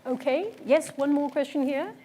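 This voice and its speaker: A woman moderating a panel, asking brisk, probing questions. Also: high-pitched, expressive, dark tone, a little hiss or hum.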